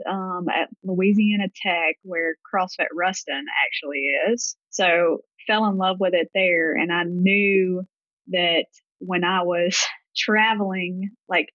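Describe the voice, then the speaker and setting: A woman from Mississippi with a Deep South accent, a gym owner chatting casually as a podcast guest and telling her story in loose, run-on phrases. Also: steady tone, a little slow, dry recording, lo-fi sound. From Gulfport, Mississippi, US. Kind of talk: casual interview answers.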